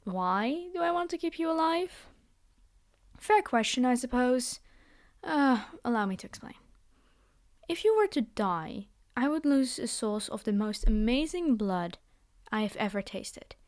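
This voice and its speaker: A woman's voice: fairly high pitch, very animated, a little slow, dry recording, studio quality. A woman playing a haughty, possessive vampire noblewoman in an ASMR fantasy roleplay, speaking in a theatrical, condescending and formal way. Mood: fascinated. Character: haughty, possessive vampire noblewoman, polite yet menacing captor.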